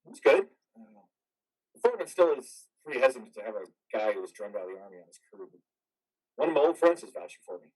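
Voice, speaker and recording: A man's voice: fairly low pitch, lively intonation, quick pace, darkish tone, breathy edge, distant mic, rough recording. A man in a reader's theater drama playing a troubled, haunted army veteran, earnest and hesitant. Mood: weary, evasive.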